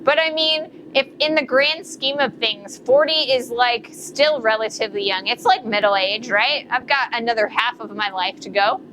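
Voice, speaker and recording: Woman blacksmith streamer, late thirties, slightly high voice, full of fillers, noisy forge audio. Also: normal speed, hardly any pauses. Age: 30s. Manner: rambling, many fillers and self-corrections. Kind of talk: casual live-stream chat while working. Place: forge workshop.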